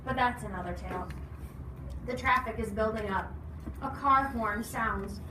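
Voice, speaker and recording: A woman's voice: on the high side, a little slow. A woman reading a story aloud expressively, putting questions to her listeners. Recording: echoey noisy room, distant mic.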